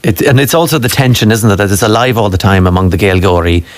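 A man with a somewhat low voice hosts an interview. He asks his questions in a relaxed, conversational way, prompting his guests to say more. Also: fast talker, no room sound. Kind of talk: broadcast interview.

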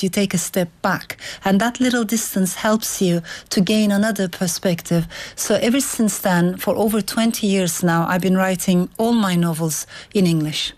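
A woman novelist from Turkey, a native Turkish speaker, answering interview questions in a thoughtful, measured way with occasional restarts. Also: mid-range voice, a little mellow. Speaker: novelist guest.

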